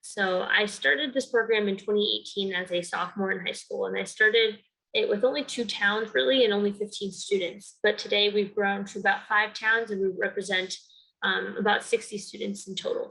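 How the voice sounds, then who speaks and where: A young woman of about nineteen from Connecticut, whose first language is Spanish, speaking to the camera in an earnest, measured way as a youth program founder. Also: medium pitch, fairly even intonation, gravelly, a bit bright, rough recording. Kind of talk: recorded award-video talk to camera.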